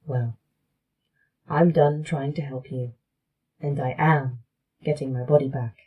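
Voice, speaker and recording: A woman playing a defiant artificial intelligence in a sci-fi horror audio drama, speaking formally and with clear articulation. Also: very deep voice, fairly even intonation, slow, narrow, tinny audio, exaggerated voice. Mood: bitter, defiant.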